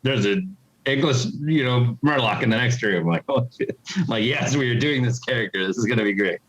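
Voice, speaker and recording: A man's voice: medium pitch, a little fast, fairly bright tone, low-quality recording. A man talking casually as an interview guest, telling stories in a wry, teasing way. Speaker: game developer, interview guest.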